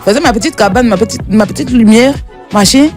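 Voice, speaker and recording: A woman's voice: a little high, fast talker, music in the background. A woman guest on a radio show, chatting casually, telling stories and laughing often.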